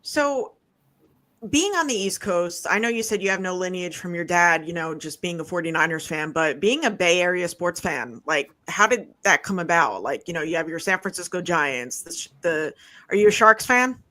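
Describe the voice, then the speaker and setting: Bright-voiced podcast host. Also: mid-range voice, lively intonation, moderate pace. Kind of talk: casual chat, asking questions.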